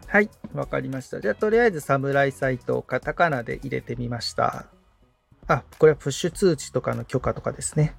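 A man's voice: medium pitch, fairly quick, creaky, faint music behind. A man assisting on a podcast, politely following along and describing each step aloud. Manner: polite, attentive, agreeable.

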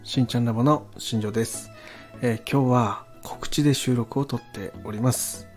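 A man hosting an audio channel, making a casual promotional announcement politely, in short halting phrases. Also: medium pitch, a little mellow, faint music behind.

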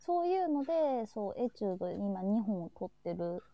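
A woman's voice: medium pitch, sing-song, normal speed, no echo, muffled recording. A woman hosting a casual live audio chat, agreeable and often repeating her affirmations. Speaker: host of a live audio talk show.

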